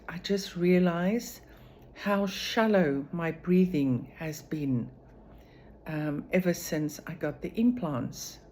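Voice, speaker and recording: A woman talks through a personal video diary in a deep, low voice. She speaks slowly and measuredly, pausing often between thoughts. A faint hum of room noise sits behind her. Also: a little mellow. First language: Afrikaans. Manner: slow, measured, with frequent pauses and fillers. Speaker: vlogger sharing her recovery.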